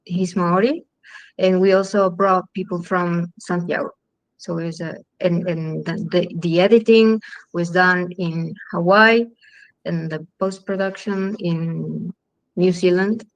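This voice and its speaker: A woman filmmaker from Chile with a Chilean Spanish accent, speaking English in a halting way full of ums and restarts. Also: on the low side, steady tone, no echo, rough recording. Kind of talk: festival Q&A talk.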